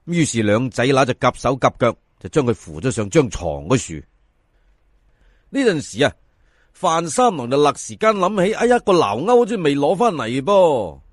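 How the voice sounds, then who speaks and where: A man's voice: medium pitch, big pitch swings, dry recording, clean studio sound. A man with a Hong Kong Cantonese accent telling a folk tale in a colloquial, dramatic way, as a storyteller does. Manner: colloquial, dramatic, drawn-out sentence particles. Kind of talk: animated folk tale narration.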